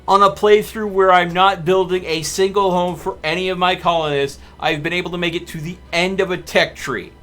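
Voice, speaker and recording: A man's voice: sits high, steady tone, a little fast, hardly any pauses, a bit bright, faint music behind. A man doing live gameplay commentary as a streamer, chatting to his viewers and muttering to himself as he plays. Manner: talks to chat and mutters to himself. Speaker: game streamer.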